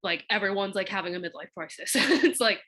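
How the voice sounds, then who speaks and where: A woman's voice: mid-range voice, expressive, brisk, fairly bright tone. A young woman from Hong Kong hosting a casual chat, rambling with lots of "like" and "you know". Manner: chatty, fills with "like" and "you know". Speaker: podcast host. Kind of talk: casual conversation.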